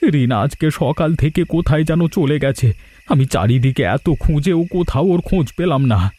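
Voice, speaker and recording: An actor playing a lazy young village husband in a cartoon, whiny and stumbling over words. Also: male voice, on the high side, animated, moderate pace, dark tone. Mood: distressed. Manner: stammering, repeats words when flustered. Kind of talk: Bengali cartoon dialogue.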